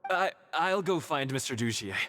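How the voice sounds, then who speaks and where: A man's voice: on the high side, animated, heavy background noise, natural voice. Man acting a nervous, stammering big guy. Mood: startled, nervous. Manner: stammering, halting, evasive. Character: nervous big man, evasive society member in game. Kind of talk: video game voice acting.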